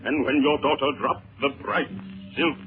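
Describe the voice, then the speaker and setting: An actor playing a menacing, cryptic priest of a jungle god in a 1930s radio serial, speaking in solemn, formal pronouncements. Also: male voice, medium pitch, moderate pace, over music, natural voice. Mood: cryptic, unyielding. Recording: tinny, band-limited 1930s radio recording.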